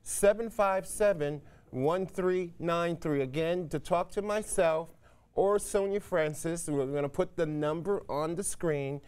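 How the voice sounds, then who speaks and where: A man's voice: on the high side, a little mellow, smooth. A man hosting a live call-in show, presenting and interviewing in an emphatic, showman-like way with repeated phrases. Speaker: TV host.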